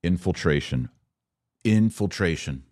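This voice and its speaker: A man's voice: low-pitched, lively intonation, unhurried, darkish tone, no echo, clean studio sound. An American man giving opinionated solo podcast commentary, sarcastic and emphatic, repeating phrases for effect.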